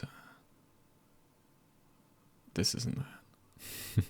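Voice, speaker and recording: A man speaking softly in an ASMR style, hushed and often near a whisper, giving gentle encouragement in deliberate, spaced-out phrases with a breathy, husky voice. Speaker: ASMR creator. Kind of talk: ASMR, soft-spoken encouragement.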